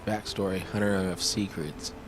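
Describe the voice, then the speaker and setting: A man's voice: deep voice, steady tone, moderate pace, noisy background. A man giving casual, informal gameplay commentary and reading on-screen text aloud, sometimes muttering to himself. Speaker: game streamer, show host. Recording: game music behind the voice. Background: video game music.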